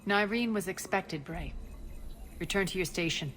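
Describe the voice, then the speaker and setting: A woman's voice: fairly low pitch, moderate pace, fairly bright tone, slight background noise, close to an everyday voice. An actress playing a ruthless, proud female crime boss in a video game, commanding, cold and imperious. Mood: brisk, commanding.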